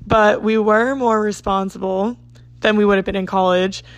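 Hoarse raspy girl in her 20s rambling on her podcast, lots of likes, dry close sound. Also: mid-range voice, fairly even intonation, moderate pace, dry recording. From South Jersey, United States. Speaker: podcast host. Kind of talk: casual chatty monologue.

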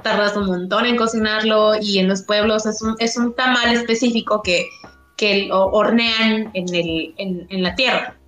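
A woman's voice: medium pitch, fairly even intonation, measured pace, a bit bright, roomy sound, faint music behind, low-quality recording. A woman from Yucatán being interviewed, talking casually and rambling with many restarts and fillers. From Mexico, Yucatán.

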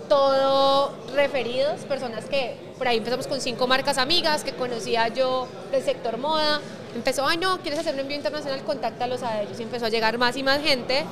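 A woman in her thirties with a Colombian accent, a startup founder talking casually in an interview and sprinkling in English business terms. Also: high voice. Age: 30s.

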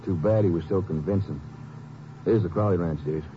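A man's voice: low-pitched, brisk, natural voice. An actor playing a practical, plain-spoken lawman partner in a 1950s radio drama. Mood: easygoing. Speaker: Texas Ranger partner. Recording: noisy, muffled old radio broadcast.

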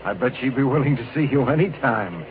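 A man's voice: medium pitch, expressive, fast talker, phone-quality sound, natural voice. An actor playing a kindly middle-aged traveling salesman, folksy and rambling, in a 1940s radio drama. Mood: encouraging. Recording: thin, noisy old radio recording.

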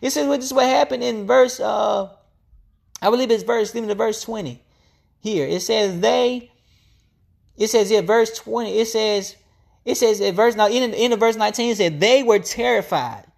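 A woman's voice: a little high, normal speed, gravelly, darkish tone. A woman preacher delivering an impassioned, off-the-cuff sermon, repeating phrases emphatically to build up her point.

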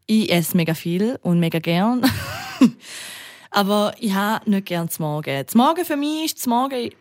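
A woman's voice: mid-range voice, expressive, normal speed, a little mellow. A woman speaking with a St. Gallen Swiss German accent, an enthusiastic radio presenter chatting casually and laughing often on a podcast.

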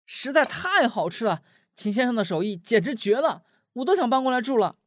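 An actor playing an eager, effusive young male assistant in an audio drama, friendly and flattering. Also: very high-pitched, fairly quick, no room sound, studio quality, exaggerated voice. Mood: cheerful, eager. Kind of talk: Chinese audio drama acting.

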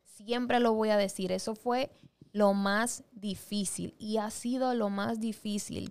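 A woman's voice: mid-range voice, a little mellow, smooth. A young woman from the Dominican Republic, whose Dominican Spanish accent is mixed with Puerto Rican, telling her story in an earnest, emphatic way in a casual conversation.

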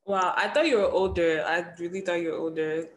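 A woman's voice: lowish voice, steady tone, fairly quick, slight background noise. A young woman from Ghana who just finished university, interviewing in a chatty, teasing way full of "like". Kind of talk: casual interview chat.

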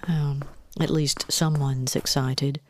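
A woman voicing a sardonic, calm grown daughter with deadpan, understated delivery for a storybook. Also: rumbling low, takes it slowly, a little hiss or hum. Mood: dry, resigned. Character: dry, sardonic, resolutely calm adult daughter.

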